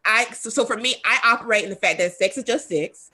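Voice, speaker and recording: Candid talk-show hostess, bright voice. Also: female voice, fairly high pitch, brisk. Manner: candid, rambling with fillers. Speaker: host. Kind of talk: casual talk show chat.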